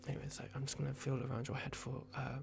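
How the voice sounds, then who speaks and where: A man voicing a playful, fussy vampire doctor in an ASMR roleplay, murmuring halting reassurances in a breathy whisper. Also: medium pitch, completely flat delivery, noisy background. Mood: gently attentive. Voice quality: breathy whisper with gravelly creak.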